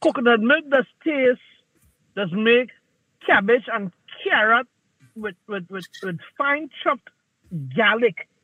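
A man's voice: extremely high pitch, lively intonation, takes it slowly, no echo. A man hosting a casual talk show, teasing his co-hosts in a playful way. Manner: playful, teasing, Caribbean English phrasing. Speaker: show host. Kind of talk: casual chat. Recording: tinny phone-like line.

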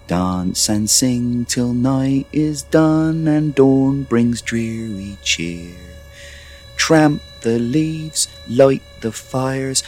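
Man doing a high eager wooden creature boy, storybook figure, keen and dutiful, bright lifted voice. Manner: keen and eager, sings softly.

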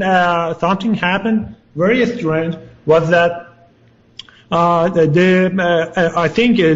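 A man calling in to a radio show over Skype, speaking haltingly with many fillers; the call sounds hollow. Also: a little high, muffled recording. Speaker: caller.

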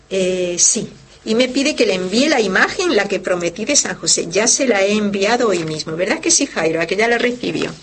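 A woman's voice: mid-range voice, husky. A woman who is a religious sister, hosting a devotional program, explaining with fervor and speaking directly to her listeners. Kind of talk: devotional teaching and reading listener letters. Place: lives in Barcelona.

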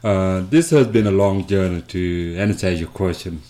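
A man from South Sudan telling his life story as an interview guest, speaking haltingly with many fillers and restarts. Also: low voice, dark tone. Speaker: guest, nonprofit founder.

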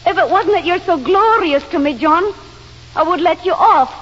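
A woman's voice: extremely high pitch, moderate pace, fairly bright tone. An actress playing a devoted, self-doubting but determined woman in a stage drama, with an earnest, yearning, intense delivery. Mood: wistful, anxious. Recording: dull hall recording with hiss.

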